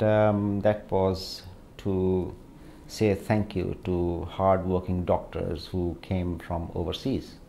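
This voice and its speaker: Man, middle-aged, mellow dark tone, hesitant reflective interview talk. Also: sits low, creaky, a little hiss or hum. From Pakistan. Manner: hesitant, reflective, many pauses and fillers. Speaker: hand surgeon. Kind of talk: documentary interview.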